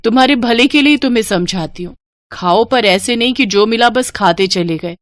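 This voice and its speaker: A woman's voice: a little high, moderate pace, no echo, close to an everyday voice. Woman acting a chiding, caring sister-in-law. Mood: scolding. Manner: chiding, admonishing.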